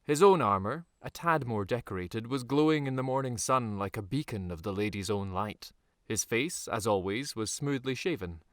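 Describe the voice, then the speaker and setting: A man reading a dark fantasy audiobook in a measured, dramatic storytelling manner with deliberate pauses. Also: mid-range voice, animated, no room sound, clean studio sound. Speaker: author reading his own novel. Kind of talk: scripted audiobook narration.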